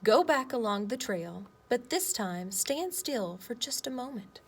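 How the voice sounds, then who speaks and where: A woman voicing the Earth as a wise, guiding storybook spirit, speaking emphatically with drawn-out phrase endings. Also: on the high side, animated. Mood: gently instructing. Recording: clear background noise.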